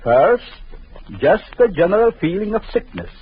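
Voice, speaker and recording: An actor playing a cruel, vengeful doctor in an old radio drama, taunting in a slow, sinister way. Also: male voice, high voice, big pitch swings, a little hiss or hum. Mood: menacing. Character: vengeful jealous male doctor, gloating and cruel. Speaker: villain. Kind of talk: 1940s radio acting. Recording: muffled old radio recording.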